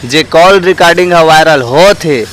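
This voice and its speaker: A man's voice: a little high, moderate pace, a bit bright, soft background music, low-quality recording. A man with a Chhattisgarhi accent presenting a vlog as host, earnestly addressing viewers directly as friends. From Chhattisgarh, India. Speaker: host and street interviewer. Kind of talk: vlog presenting with public opinion questions.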